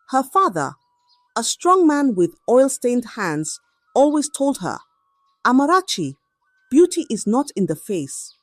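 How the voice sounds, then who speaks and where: A woman storyteller giving a dramatic scripted narration of a folktale in short, measured phrases. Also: medium pitch, dry recording.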